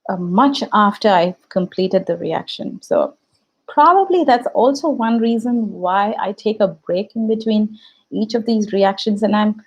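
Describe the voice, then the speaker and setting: A woman's voice: medium pitch, animated, unhurried, slightly rough, low-quality recording. A woman with an Indian English accent and Tamil as her first language, chatting reflectively on a live stream as a YouTube host, with fillers and restarts. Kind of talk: casual live-stream chat.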